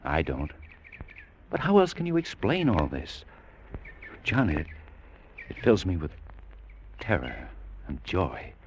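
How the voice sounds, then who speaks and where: An earnest spaceman full of wonder in a vintage radio play. His voice sits fairly low but rises and falls dramatically. The old recording carries a light hiss. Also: huge pitch swings, slow pace, pauses often, exaggerated voice. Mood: awed. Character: awestruck, earnest male spaceman, longing for home.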